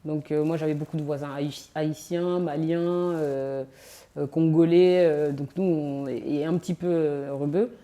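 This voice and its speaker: A woman in her thirties from France, guest in a casual interview, talking in a relaxed, hesitant way with many fillers. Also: deep voice, measured pace. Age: 30s. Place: on location in a sports venue.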